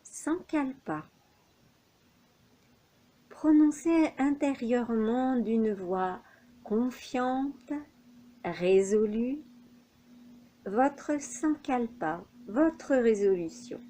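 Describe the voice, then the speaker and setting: Very slow, mellow woman guiding relaxation. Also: a little high. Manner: unhurried, measured phrases with pauses. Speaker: yoga nidra instructor. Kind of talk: guided relaxation meditation instructions.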